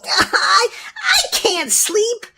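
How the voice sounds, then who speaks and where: Storybook role: anxious, sleepless young kid played by a woman, very high-pitched, pleading, exclamatory. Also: drawn-out words, gravelly, pushed and bright, heavily acted. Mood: pleading, upset. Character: frightened young child who can't sleep, anxious and loud. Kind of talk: children's storybook read-aloud.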